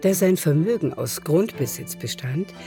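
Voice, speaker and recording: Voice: medium pitch, lively intonation, moderate pace, mellow. A narrator reading a literary radio play in scripted storytelling style. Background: music.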